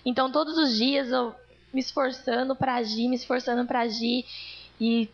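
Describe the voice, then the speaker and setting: A woman's voice: a little high, fairly even intonation, normal speed, highs cut off. A woman giving an earnest testimony sermon to a church congregation, telling a story. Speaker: church speaker.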